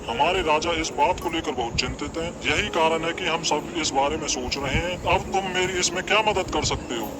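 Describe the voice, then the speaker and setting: Man voicing a serious, higher-pitched cartoon elephant. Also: fairly quick, hardly any pauses, a loud crowd, lightly acted. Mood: worried. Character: worried, dutiful male elephant, forest guardian. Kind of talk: Hindi animal cartoon dialogue.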